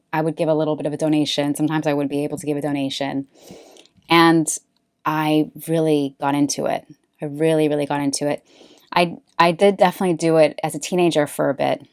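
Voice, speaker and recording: A woman from California who hosts a face yoga podcast, telling her story in a relaxed, chatty way with lots of fillers. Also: sits low, clear, resonant tone, no room sound, clean studio sound. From Bay Area, California. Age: late 20s or older. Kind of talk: casual personal storytelling monologue.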